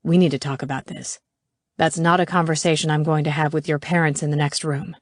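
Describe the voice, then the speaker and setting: Woman doing a young guy, tight low voice, defensive and sorry, dry close sound. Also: fairly even intonation, fairly quick, dry recording, natural voice. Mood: tense. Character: young man, tense, defensive, regretful boyfriend.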